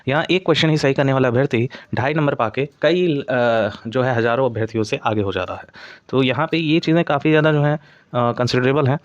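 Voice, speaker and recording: A man's voice: medium pitch, fairly quick, no room sound, dull audio. A man giving an informal explainer as an exam coaching presenter, often padding his points with stock phrases.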